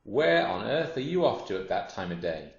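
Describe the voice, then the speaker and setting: A man's voice: fairly low pitch, sing-song, normal speed, gravelly. A gigantic hippopotamus from a children's storybook , put on by a man, nosy and full of indignant disapproval. It exclaims its words in a roomy recording with dull sound. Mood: curious. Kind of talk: children's storybook character reading.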